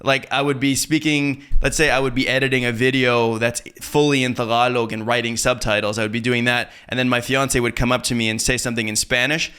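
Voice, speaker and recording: Canadian male podcast host, crisp bright voice, mid pitch, casual conversational delivery. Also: little pitch movement, brisk, hardly any pauses. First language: English.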